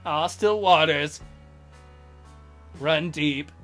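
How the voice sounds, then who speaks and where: A man's voice: fairly high pitch, very slow, a bit bright, soft background music. A man hosting a let's play, reading a visual novel aloud and breaking in with crude, mocking jokes.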